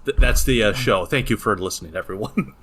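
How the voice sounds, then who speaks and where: Low-pitched man in casual, profane banter. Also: a little fast, fairly bright tone, a little hiss or hum. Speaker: podcast co-host. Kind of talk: casual chat.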